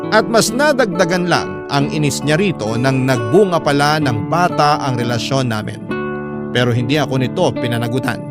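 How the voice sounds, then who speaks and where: A man's voice: fairly high pitch, lightly acted. A man narrating a first-person drama story as a young mother recalling past heartache. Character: young mother recounting a painful friendship and romance. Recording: voice over loud guitar music.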